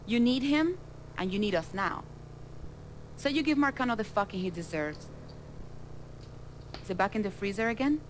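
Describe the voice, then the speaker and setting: A woman's voice: medium pitch, animated, moderate pace, pauses often, lightly acted, poor audio. A woman playing a tough, shrewd smuggling boss in a video game, speaking firmly and frankly. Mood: insistent. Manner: businesslike, firm, frank. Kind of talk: dramatic video game dialogue. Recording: faint background ambience noise.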